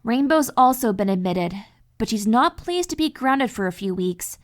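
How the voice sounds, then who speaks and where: A woman voicing a calm, caring cartoon pony mentor in an audio story, reassuring and explanatory. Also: on the high side, moderate pace, lightly acted. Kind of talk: dramatic fan fiction audio reading.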